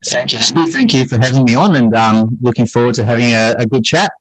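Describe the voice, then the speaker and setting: A man's voice: mid-range voice, fairly quick, no echo, low-quality recording. A middle-aged man answering conversationally as a guest in a podcast interview. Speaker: podcast guest, English teacher and writer.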